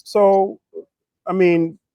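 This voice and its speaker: A middle-aged man from Nigeria speaking as an interview guest, reflecting on his career in a thoughtful, halting way with pauses mid-phrase. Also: high-pitched, little pitch movement, dark tone, clear, resonant tone, no echo, clean studio sound. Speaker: interview guest, tech executive.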